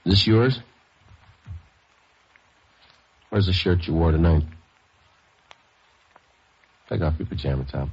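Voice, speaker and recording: An actor playing a terse, methodical police detective in a 1950s radio drama, narrating and questioning in a clipped, deadpan way. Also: male voice, sits low, moderate pace, husky, heavily acted. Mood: brisk, probing. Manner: clipped, deadpan, matter-of-fact. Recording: dull vintage radio recording with music.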